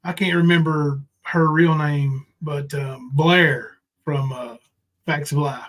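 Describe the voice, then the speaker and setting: A man with a fairly high-pitched voice hosts a livestream panel. He talks casually, in a folksy, rambling manner. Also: fairly even intonation, takes it slowly, fairly bright tone. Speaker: live panel stream host.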